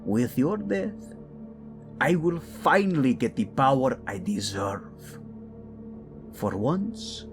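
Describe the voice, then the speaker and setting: A man's voice: on the high side, full character voice. A man playing a sneering, swooping villainous royal father. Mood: gloating contempt. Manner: slow, sneering, theatrical swooping delivery. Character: cruel, scornful, power-hungry royal father, older tyrant. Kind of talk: audio drama villain acting.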